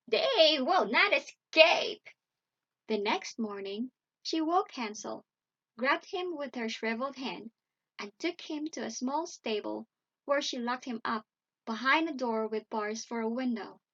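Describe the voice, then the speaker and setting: Expressive, slow-paced woman storyteller. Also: a little high, lo-fi sound. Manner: dramatic, measured. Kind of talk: expressive read-aloud bedtime story narration.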